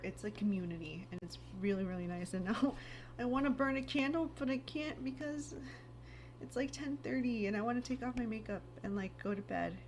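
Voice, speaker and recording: A woman in her thirties chatting casually at home in a rambling vlog, full of "like" fillers, her throat a little raspy. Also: mid-range voice, darkish tone, slight background noise. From United States. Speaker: lifestyle vlogger. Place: home, indoors.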